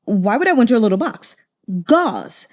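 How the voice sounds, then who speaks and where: A woman's voice: a little high, big pitch swings, measured pace, darkish tone, pitched above the performer's own voice. A woman voicing a cold, condescending, money-loving male master physician in an audiobook story, curt and unruffled. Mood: impatient.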